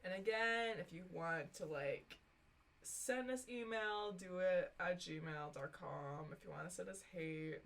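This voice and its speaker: A woman's voice: fairly low pitch, a little slow, gravelly. A young woman co-hosting a podcast, reading aloud and chatting in an informal, amused way. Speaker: podcast co-host. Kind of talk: casual reading aloud of fan fiction and chat.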